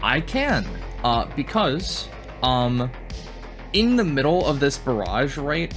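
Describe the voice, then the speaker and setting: A man playing a tabletop game, improvising his character's action in slangy, halting speech with swearing. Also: medium pitch, very animated, music in the background. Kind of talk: casual, improvised action narration.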